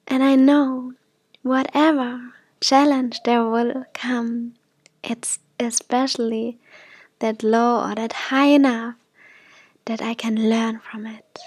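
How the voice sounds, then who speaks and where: A woman guiding an affirmation meditation in soft, gentle, breathy phrases that often drop toward a whisper. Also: on the high side, level tone, slow, dry recording. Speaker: meditation guide.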